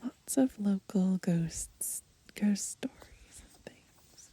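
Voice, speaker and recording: Very breathy whispering woman, unhurried ASMR. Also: medium pitch, no echo. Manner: gentle, unhurried, murmuring. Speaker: ASMR host browsing a book aloud. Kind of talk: ASMR, soft murmured commentary and title reading. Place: outdoors on grass.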